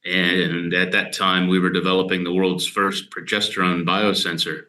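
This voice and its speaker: Man, American accent, fifties, interview guest, measured explainer, crisp forward tone. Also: sits low, slight background noise. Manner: steady, measured, explains in detail with frequent "uh" pauses. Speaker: guest dairy scientist. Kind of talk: casual explanatory interview talk.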